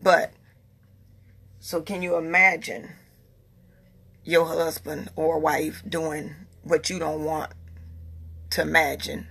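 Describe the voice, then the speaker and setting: A woman's voice: deep voice, flat delivery, takes it slowly, vocal fry, pauses often, fairly bright tone, breathy edge. A woman in her forties talking casually to her followers in a vlog, earnest and repeating herself as she makes her point. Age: 40s. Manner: earnest, repeats herself, talks in a Southern US dialect. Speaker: vlogger.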